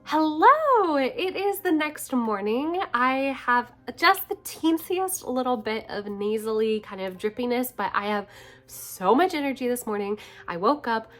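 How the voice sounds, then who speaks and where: A young woman with a cold, sounding nasal and stuffed up, chatting softly in a casual diary-style vlog and laughing between phrases. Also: on the high side, animated, measured pace. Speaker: vlogger.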